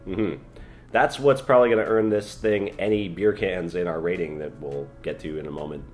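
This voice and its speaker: A man's voice: sits low, moderate pace, faint music behind. A man in his twenties co-hosting a film review show, chatting casually in a small living room.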